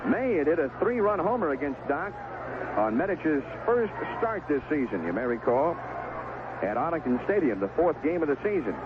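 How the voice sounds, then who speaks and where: High-pitched man calling baseball on tinny old radio. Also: big pitch swings, moderate pace. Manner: clipped phrases, descriptive call of each pitch. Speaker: radio baseball play-by-play announcer. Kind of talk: live sports commentary, 1970s radio. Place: outdoor ballpark broadcast booth. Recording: noisy, tinny narrowband vintage radio broadcast.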